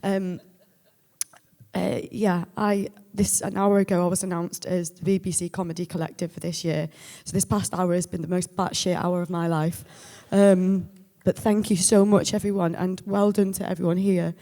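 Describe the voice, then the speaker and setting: Husky woman in an echoey hall. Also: lowish voice, little pitch movement, normal speed, dark tone. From Rhyl, North Wales. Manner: candid, joking, breathless rambling. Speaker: award winner, writer and performer. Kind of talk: emotional off-the-cuff acceptance speech. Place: stage at an awards ceremony in a hall. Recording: echoey hall with long reverb.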